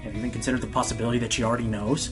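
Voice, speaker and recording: A man's voice: medium pitch, level tone, rapid-fire, lightly acted. Man acting defensive, earnest pony radio host. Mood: firm, defiant. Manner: earnest, hesitant, turning indignant. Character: male Pegasus pony radio host, defensive, passionate, self-deprecating. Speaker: radio show host being interviewed. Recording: music behind the voice.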